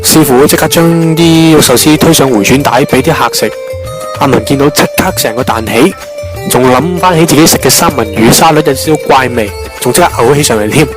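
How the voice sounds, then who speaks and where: Man playing young joker, rambling storytelling, Hong Kong Cantonese, medium pitch, music underneath, amateur radio drama. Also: a little fast, lightly acted. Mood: jokey storytelling. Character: wisecracking young man, long-winded teller of absurd jokes.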